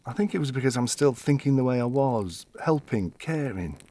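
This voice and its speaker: An actor playing a gentle, wistful, fussily defensive elderly widower in an audio-play monologue, telling his story in a reflective, halting way. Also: medium pitch, expressive.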